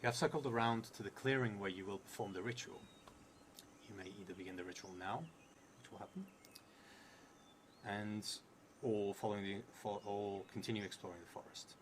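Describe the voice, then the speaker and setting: A man in his thirties with a creaky voice, thinking aloud and reading as he plays a solo tabletop game, halting and trailing into murmurs. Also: lowish voice, a little hiss or hum. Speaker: solo tabletop roleplayer streaming.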